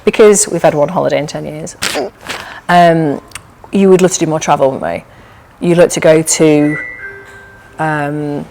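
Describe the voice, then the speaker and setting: A woman with a Hull accent and a fairly low voice, chatting and teasing as she asks questions. She keeps tacking tag questions onto her sentences. Faint room noise sits behind her. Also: steady tone, normal speed, pauses often, warm tone, low-quality recording. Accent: Yorkshire (Hull). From Hull, England. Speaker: interviewer and business partner. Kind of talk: casual interview chat.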